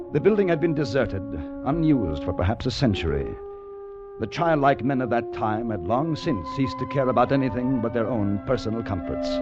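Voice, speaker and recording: An actor playing a weary, fearful time traveller, narrating gravely and deliberately in an old-time radio drama. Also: male voice, on the low side. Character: Fowler, weary fearful male time traveller recounting his ordeal. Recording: muffled old radio recording with music behind.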